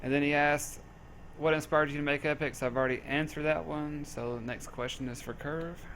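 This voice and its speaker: A man's voice: a little high, steady tone, fairly quick, a bit bright, slight background noise. A man with a Southern US accent, reading out and answering community questions in a casual, joking, easygoing way. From southern United States. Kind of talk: casual group Q&A.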